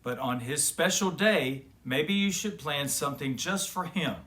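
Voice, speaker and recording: Guy reading a patient mama bear from a kids storybook, gentle and sensible, slightly high voice. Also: expressive, measured pace. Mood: patient, kindly.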